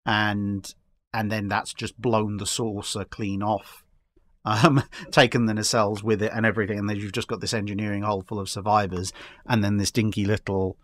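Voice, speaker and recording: A man in a casual discussion, explaining his speculative ideas in a halting way with repeated words. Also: fairly low pitch, level tone, fairly bright tone. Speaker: podcast guest.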